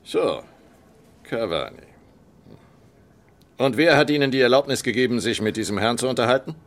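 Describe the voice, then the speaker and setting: A man's voice: medium pitch, expressive, moderate pace, lightly acted. A man acting a stern, controlling military academy commandant in a radio drama, curt, imperious and formal. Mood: stern, suspicious. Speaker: actor.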